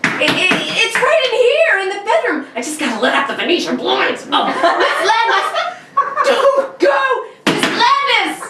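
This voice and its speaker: A woman's voice: very high-pitched, big pitch swings, measured pace, full character voice. A woman playing an unnerved, sleepless, eerily intense man in a 1940s-style suspense radio drama, theatrical and brooding. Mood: desperate pleading. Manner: theatrical, brooding, nervous laughter. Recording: roomy, distant, faint background noise.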